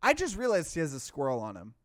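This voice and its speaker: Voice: mid-range voice, huge pitch swings, fast talker, dry recording, studio quality. A streamer giving casual, reactive game commentary, with some swearing and talk to the viewers. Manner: reactive, profane, addresses viewers. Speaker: let's play streamer. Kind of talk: casual game commentary, reading dialogue aloud.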